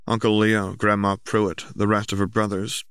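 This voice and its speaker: Low-voiced male audiobook narrator. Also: monotone, normal speed, fairly bright tone, clear, resonant tone, dry recording, studio quality. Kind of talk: scripted story narration.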